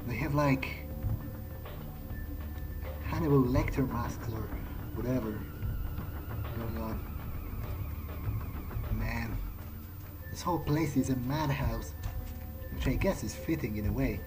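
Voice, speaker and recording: Game music plays clearly behind a man with a medium-pitched voice. He mutters through a playthrough in character, casually thinking aloud with a wry manner. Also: slow pace, pauses often, rough recording. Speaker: let's play host.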